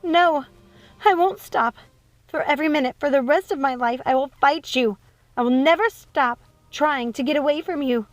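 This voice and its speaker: Woman acting a high-voiced, pleading young princess. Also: moderate pace, no echo, faint music behind, close to an everyday voice. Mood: defiant. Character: frightened, pleading but defiant young princess.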